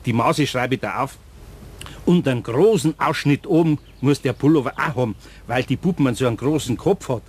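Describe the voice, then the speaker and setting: An actor playing a good-natured older master carpenter with a Bavarian accent, gruff but kindly in a radio play. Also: male voice, medium pitch, normal speed, natural voice. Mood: kindly, bargaining.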